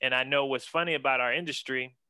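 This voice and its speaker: Bright, crisp-voiced laid-back young man. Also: mid-range voice, steady tone, fast, no echo. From western Pennsylvania. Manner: laid-back, slangy, enthusiastic, says man a lot. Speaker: guest, senior art director. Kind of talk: casual interview chat.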